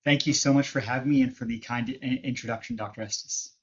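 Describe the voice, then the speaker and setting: A man's voice: mid-range voice, very animated, fast talker, vocal fry. A man in his thirties from western Canada with a light Canadian accent, a guest on a podcast, talking reflectively with many restarts and fillers. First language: Hindi. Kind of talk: casual interview conversation. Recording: muffled remote podcast audio.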